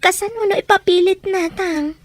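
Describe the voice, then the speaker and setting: High-pitched, earnest young Ilocano daughter. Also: female voice, normal speed, dry recording. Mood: worried. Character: worried young daughter pleading for her siblings. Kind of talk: Ilocano radio drama acting.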